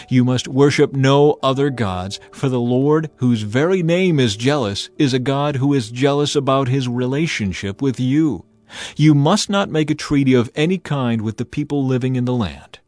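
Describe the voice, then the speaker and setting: A man's voice: mid-range voice, a little mellow, lightly acted. A man voicing a solemn, commanding God in dramatized scripture, grave and declamatory. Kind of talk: dramatized audiobook acting.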